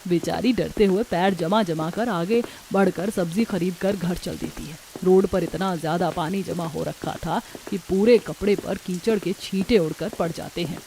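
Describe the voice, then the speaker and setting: A woman's voice: fairly low pitch, moderate pace, vocal fry, hardly any pauses, mellow, slight background noise. A woman storyteller reading scripted narration for a family cartoon.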